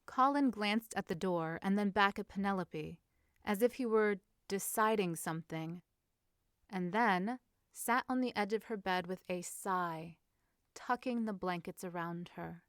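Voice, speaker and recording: A woman's voice: mid-range voice, unhurried, dry recording, clean studio sound. A woman reading a story as a scripted narration. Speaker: fan fiction narrator.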